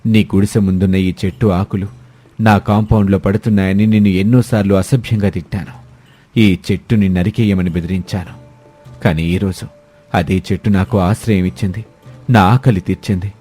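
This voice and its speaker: A man's voice: low voice, fairly even intonation, normal speed, dark tone, soft background music. A man voicing a proud older wealthy landlord in a moral-story cartoon. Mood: remorseful.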